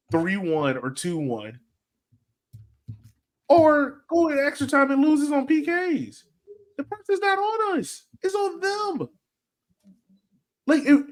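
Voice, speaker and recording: Animated, argumentative soccer podcast panelist. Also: high voice. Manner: argumentative, repeats points emphatically, slangy. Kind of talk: animated casual sports debate.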